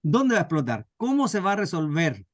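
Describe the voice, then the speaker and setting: A man's voice: a little high, big pitch swings, fairly quick, no echo, clean studio sound. A man with a Chilean accent teaching a workshop informally through stories, repeating phrases for emphasis. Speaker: scriptwriting workshop instructor. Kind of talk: informal teaching with acted anecdotes.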